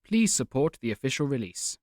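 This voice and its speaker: A man's voice: mid-range voice, big pitch swings, a little fast, darkish tone, dry recording, clean studio sound. A man reading out a scripted disclaimer as an announcer.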